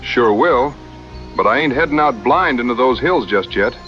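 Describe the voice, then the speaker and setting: An actor playing a confident, plainspoken American frontier hunter with laconic, folksy dry humor in a western audio drama. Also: male voice, mid-range voice, lively intonation, normal speed, dull audio, soft background music, lightly acted. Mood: steady, resolute.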